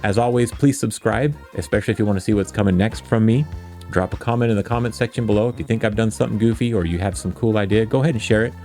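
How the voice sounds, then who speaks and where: Man, low pitch, creaky fry, casual tutorial host, informal, self-deprecating. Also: fast talker, dark tone, faint music behind. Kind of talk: casual screen-recorded coding tutorial.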